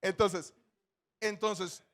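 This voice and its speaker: A man's voice: high-pitched, fairly even intonation. A man from Honduras, preaching a testimony in short phrases that leave room for an interpreter. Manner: earnest, pausing between short phrases for translation. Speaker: missionary speaker.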